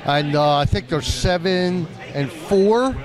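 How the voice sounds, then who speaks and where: A man's voice: high-pitched, lively intonation, slow. A man in his sixties co-hosting casual sports talk on a handheld mic at an arena. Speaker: sports show co-host. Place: on the court of a large indoor arena. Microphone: handheld microphone. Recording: crowd noise of a basketball arena.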